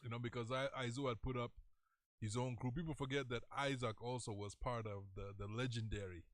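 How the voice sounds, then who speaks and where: A man's voice: medium pitch, steady tone, brisk, no echo. A middle-aged Kenyan man hosting a radio show, telling old stories in casual chat and laughing often. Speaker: radio host. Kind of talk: casual studio chat mixing Swahili slang. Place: radio studio.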